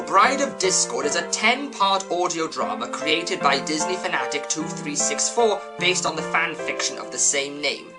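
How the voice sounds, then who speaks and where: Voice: mid-range voice, low-quality recording. A reviewer giving a scripted, opinionated commentary with emphatic delivery. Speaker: host, reviewer.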